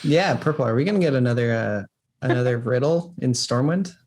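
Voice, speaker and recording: Mid-pitched man, casual developer interview. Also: lively intonation, fairly quick, a little mellow. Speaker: game developer guest.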